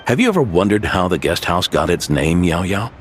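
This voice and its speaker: A man's voice: low voice, very animated, brisk, slight background noise. A man voicing a kindly older guest house keeper who tells legends in a video game. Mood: storytelling.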